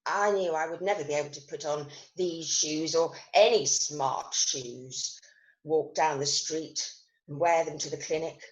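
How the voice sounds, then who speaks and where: An actress playing a self-critical, wry and wistful woman recovering from an accident, telling her story in a theatrical monologue with deliberate pauses. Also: low voice. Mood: regretful, resolute. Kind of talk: dramatic monologue reading of new writing. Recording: roomy, echoing online recording.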